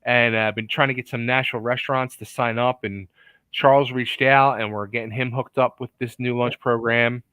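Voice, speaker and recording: A man hosting a casual restaurant interview show, chatty and animated, with lots of fillers and restarts. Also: mid-range voice, moderate pace, clear, resonant tone, no room sound. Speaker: podcast host.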